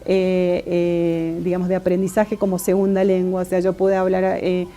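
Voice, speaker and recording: Hesitant Argentine woman with a mellow voice. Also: lowish voice, monotone, normal speed. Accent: Argentine Spanish. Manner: thoughtful, many hesitations and restarts. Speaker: interviewee, political scientist. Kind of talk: conversational interview answers.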